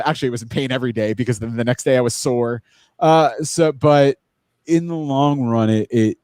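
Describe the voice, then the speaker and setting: Podcast guest: man, forty, mid pitch, dry close sound, chatty and encouraging. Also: warm tone, no room sound. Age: 40s. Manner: chatty, encouraging, full of restarts. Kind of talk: casual podcast chat.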